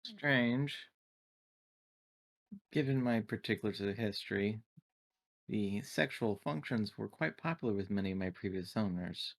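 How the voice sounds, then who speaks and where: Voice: mid-range voice, a little slow, vocal fry, long pauses. A player voicing a synthetic android in a tabletop roleplaying game, speaking in formal, stilted, clinical wording with detached, naive curiosity.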